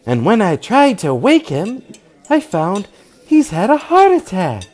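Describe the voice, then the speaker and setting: A polite housewife from a radio mystery, played by a woman. She talks slowly and hesitantly, with melodramatic, very lively swings in pitch. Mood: shaken, hesitant. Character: polite, flustered housewife hostess hiding a crime. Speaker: actor. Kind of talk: old-time radio drama acting.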